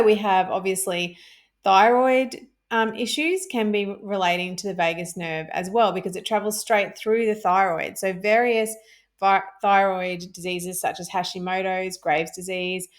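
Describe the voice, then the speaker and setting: A woman giving an explanatory health talk, calm and clear, stressing key points as she teaches. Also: mid-range voice. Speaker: health educator.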